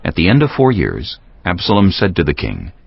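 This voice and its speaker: A man narrating a dramatized scripture reading in a solemn, measured storytelling manner. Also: low voice, wildly swooping pitch, gravelly, slightly rough. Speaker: narrator of a dramatized audio Bible. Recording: dull lo-fi audio with background music.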